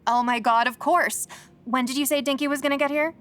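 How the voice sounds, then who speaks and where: An actress playing a sarcastic, curious young reporter in a comedy audio drama. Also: female voice, sits high, steady tone, brisk, a bit bright. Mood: intrigued. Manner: sarcastic, teasing. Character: wry, curious young female reporter chasing a story.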